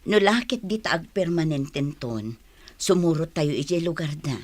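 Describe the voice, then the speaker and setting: A woman's voice: low voice, expressive, normal speed, darkish tone, no echo. A woman playing a stern, domineering mother of many children in a radio drama, with a commanding manner. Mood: matter-of-fact. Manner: commanding, scolding.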